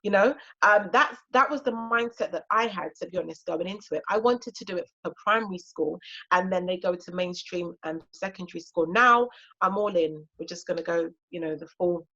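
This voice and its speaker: Woman in her 30s from Ghana, Twi-native, chatty and encouraging guest speaker with a low-set voice on muffled online audio. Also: brisk, pushed and bright. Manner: chatty and encouraging, frequent fillers. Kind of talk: casual talk on an online session.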